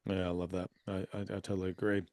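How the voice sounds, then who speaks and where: A man with a low voice hosts a business podcast in an upbeat, affirming way. His voice sounds dry and close, with little room around it. He moves easily between casual chat and sponsor reads. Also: monotone, fast, darkish tone, no room sound. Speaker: podcast host.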